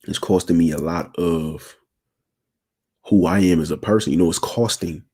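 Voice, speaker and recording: Deep raspy young man, casual and slangy. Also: normal speed, mellow. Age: 20s. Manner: slangy, keeps asking "you feel me". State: sore throat. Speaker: channel host. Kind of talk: casual livestream talk to viewers.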